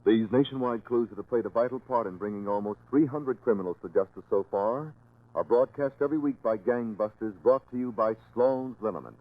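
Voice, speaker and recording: A man's voice: mid-range voice, a little fast. A man working as a commercial announcer, reading a scripted 1940s radio advertisement. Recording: thin, tinny 1940s radio recording.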